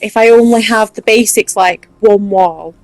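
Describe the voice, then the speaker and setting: A woman's voice: mid-range voice, normal speed, a little mellow, no echo. Chatty Mancunian woman, dry close sound. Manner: chatty, explaining step by step. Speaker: game build tutorial host. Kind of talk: casual commentary over gameplay.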